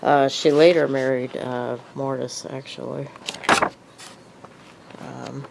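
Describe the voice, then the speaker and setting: A woman's voice: extremely low pitch, flat delivery, takes it slowly, gravelly, darkish tone, slight background noise, low-quality recording. A woman with a cold, sniffly and hesitant, talking casually in a show-and-tell vlog with lots of ums and uhs. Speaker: vlogger.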